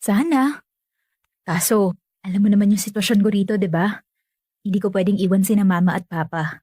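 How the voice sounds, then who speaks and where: A woman's voice: medium pitch, fairly quick, mellow, no room sound, clean studio sound, natural voice. A young woman playing a resigned, dutiful family caretaker in natural radio drama dialogue, self-effacing and accommodating. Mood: meek, eager.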